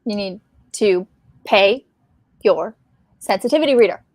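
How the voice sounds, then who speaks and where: A woman's voice: medium pitch, measured pace, a little mellow, dry recording. A woman, co-hosting a casual live discussion about books. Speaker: co-host of a book discussion livestream.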